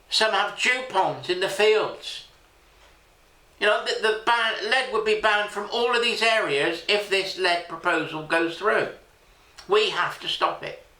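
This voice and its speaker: A man's voice: high-pitched, pushed and bright. A man hosting a country sports channel, making an earnest, plain-spoken campaign appeal to viewers. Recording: echoey room.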